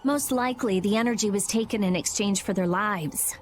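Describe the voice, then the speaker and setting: A woman voicing a brusque, sharp-tongued teenage girl mage and researcher in an anime-style game. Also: medium pitch, moderate pace, slight background noise, lightly acted. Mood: focused, explaining.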